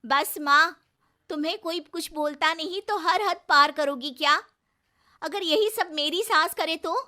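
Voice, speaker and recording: A woman's voice: very high-pitched, a little slow, sharp tone, voice pushed up, heavily acted. A woman voicing an earnest, anxious young sister-in-law in a cartoon moral story. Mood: indignant. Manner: anxious, pleading.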